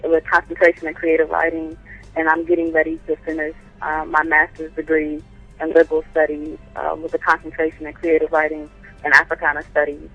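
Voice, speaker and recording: A woman poet calling in to an interview, answering thoughtfully with frequent pauses and fillers. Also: low-pitched, monotone, dull audio, soft background music. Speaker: guest poet and writer. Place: calling in from home by phone.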